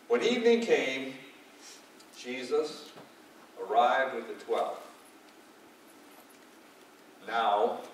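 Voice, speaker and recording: Male narrator for a scripture drama, slow and solemn, heard in a heavily echoing hall. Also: medium pitch, slight background noise. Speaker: narrator introducing a church drama. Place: large reverberant indoor hall before an audience. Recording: very echoey hall, distant mic.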